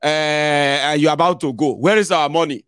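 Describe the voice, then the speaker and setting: Nigerian man, thirties, sarcastic commentator, lively, desk mic, dry sound. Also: on the high side, normal speed, smooth, no echo. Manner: sarcastic, animated, mixes Nigerian Pidgin. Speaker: political commentator. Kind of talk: live stream commentary. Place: indoor home studio. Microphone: desk microphone.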